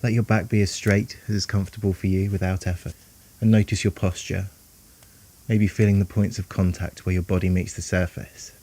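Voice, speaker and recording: A man guiding a meditation in a calm, soothing, unhurried way, leaving long pauses between phrases. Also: deep voice. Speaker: meditation guide. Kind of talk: guided meditation narration.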